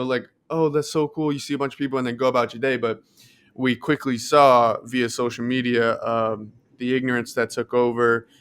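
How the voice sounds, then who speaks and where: A man's voice: medium pitch, fairly bright tone, clear, resonant tone. A man co-hosting a podcast in casual, reflective conversation. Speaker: podcast co-host. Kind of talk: casual chat.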